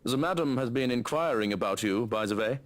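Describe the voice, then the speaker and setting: A man doing a calm, stern bodyguard. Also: medium pitch, lightly acted. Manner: measured, dry, matter-of-fact.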